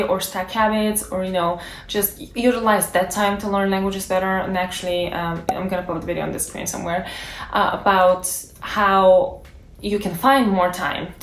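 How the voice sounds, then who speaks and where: A woman's voice: medium pitch, slight background noise. A young woman who is a native Bulgarian speaker, talking casually to camera as a language-learning YouTuber and thinking aloud with fillers and restarts. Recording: echoey room.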